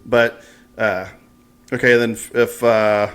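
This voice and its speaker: A man's voice: on the low side, little pitch movement, takes it slowly, a bit bright, smooth. American man hosting a casual podcast. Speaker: podcast host. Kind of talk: casual interview chat.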